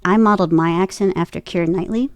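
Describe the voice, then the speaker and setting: A woman chatting casually as an interview guest, telling stories in an animated way and acting out her own reactions. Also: lowish voice, fast talker, no echo, studio quality. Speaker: guest, voice actor and podcaster.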